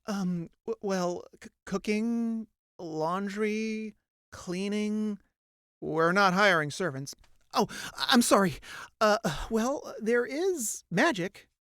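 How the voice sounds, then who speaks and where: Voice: medium pitch, expressive, slow, a bit bright, dry recording, studio quality, voice pushed up. A narrator voicing a nervous young country boy who stammers and sounds meek under questioning.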